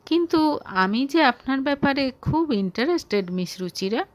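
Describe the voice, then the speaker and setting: A woman's voice: high-pitched, lively intonation, moderate pace, no room sound, pitched above the performer's own voice. A woman voicing a pushy, lecherous businessman in an audio story, insinuating and insistent.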